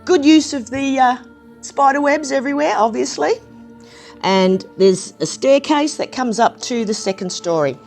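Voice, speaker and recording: A woman with a slightly croaky voice, chatting casually through a hands-on review of a building set, with plenty of ums and restarts. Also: high voice, normal speed, a little mellow, faint music behind. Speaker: brick set reviewer, channel host.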